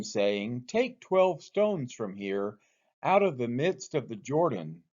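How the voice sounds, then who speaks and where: A man reading the Bible aloud from a script as a church read-along, in measured, deliberate phrases, on a dull recording. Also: on the high side, a little mellow.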